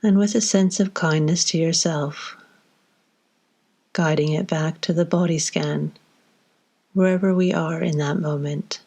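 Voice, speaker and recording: A woman leading a guided body scan meditation, giving slow, gentle instructions with long pauses between phrases. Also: low voice, fairly even intonation, breathy edge.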